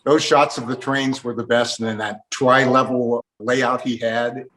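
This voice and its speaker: A man's voice: medium pitch, steady tone, measured pace, fairly bright tone, poor audio. A man hosting a casual interview, reminiscing in an earnest, nostalgic way. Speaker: interview host.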